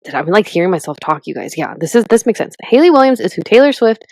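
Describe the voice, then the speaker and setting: A woman in her thirties co-hosting a podcast in casual chat, animated and chatty, with lots of "like" and "literally". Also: mid-range voice, fast talker, no echo. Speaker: podcast co-host.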